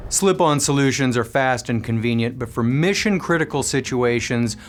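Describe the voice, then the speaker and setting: A man presenting a scripted product review, explaining things with clear enunciation. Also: medium pitch, animated, normal speed. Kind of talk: scripted product review narration with live demo commentary.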